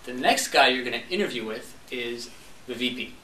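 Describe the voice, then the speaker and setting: A young man from Montreal, a French speaker, tells a funny story to a club audience and slips into the voices of the people in it. His tone is bright and forceful. He is recorded from a distance in a roomy space with faint background noise. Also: mid-range voice, lively intonation, a little fast. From Montreal, Canada. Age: young adult. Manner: storytelling, acting out dialogue. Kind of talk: humorous speech. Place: club meeting room. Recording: echoey room, distant mic.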